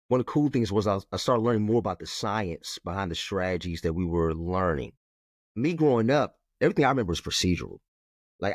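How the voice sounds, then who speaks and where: Brief: low, firm-toned male educator, emphatic repeats, dry close sound. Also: no echo. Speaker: math educator and author. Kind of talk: conversational interview.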